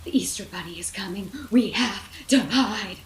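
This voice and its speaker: A woman voicing an anxious, excitable male toy dinosaur in a storybook, panicky and dramatic. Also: medium pitch, normal speed, lightly acted. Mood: worried. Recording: roomy echo, background noise.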